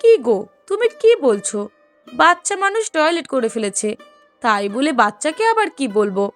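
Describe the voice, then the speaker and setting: A woman voicing a proud, outspoken young wife and mother in a moral-story cartoon, with emphatic, expressive delivery. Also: high-pitched, moderate pace, faint music behind. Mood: indignant.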